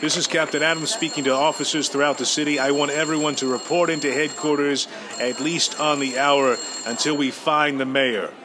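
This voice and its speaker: A man voicing a harried police captain in a radio drama, who sounds gruff and tired. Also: on the high side, fairly even intonation, brisk, close to an everyday voice. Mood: weary. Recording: radio drama with background hiss.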